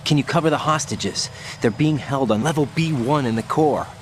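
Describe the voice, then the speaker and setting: An actor playing an earnest young special agent in a video game, probing and idealistic. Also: male voice, mid-range voice, moderate pace, a little hiss or hum, natural voice. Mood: focused. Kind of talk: video game cutscene acting.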